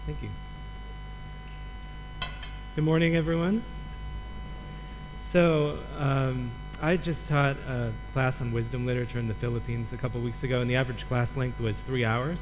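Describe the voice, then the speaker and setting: A man from Michigan preaches as a guest, explaining scripture in a relaxed, lightly joking manner. His pitch is middling, and a mains hum runs under the recording with faint music. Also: normal speed, long pauses, poor audio. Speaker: guest preacher, Old Testament professor. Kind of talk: sermon teaching.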